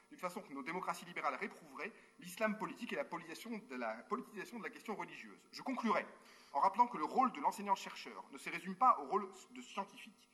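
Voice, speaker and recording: A man's voice: sits high, pushed and bright. A man who is a university researcher, reading a prepared formal talk to a conference over a remote link, breaking off now and then for spoken asides and hesitations.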